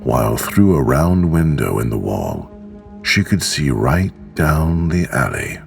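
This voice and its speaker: Narrator, man: slow soothing bedtime reader, lively intonation, faint music bed. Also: rumbling low, creaky. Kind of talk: bedtime story reading. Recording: soft music underneath.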